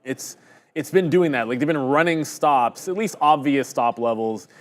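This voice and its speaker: A man's voice: mid-range voice, normal speed. A man on a live trading stream, walking through his chart calls in a loose, rambling way. He pokes fun at his own mistakes as he goes. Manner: rambling, conversational, self-deprecating. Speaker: day-trading stream host. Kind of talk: live market commentary.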